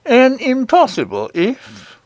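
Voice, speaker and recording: A man's voice: sits high, wildly swooping pitch, unhurried, no room sound, pitched above the performer's own voice, full character voice. A henpecked goblin king from a storybook, played by a man, speaking in a raised, swooping voice. He strains for dignity and coaxes in a pompous way. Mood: dignified. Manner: dignified, coaxing.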